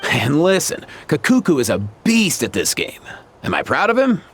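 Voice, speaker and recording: A man's voice: fairly high pitch, huge pitch swings, moderate pace, creaky, fairly bright tone, husky, heavily acted. An actor playing an easygoing, good-natured young man in a video game, slangy and readily exasperated. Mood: weary, exasperated. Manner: slangy, sighing and exclaiming. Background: faint game background music.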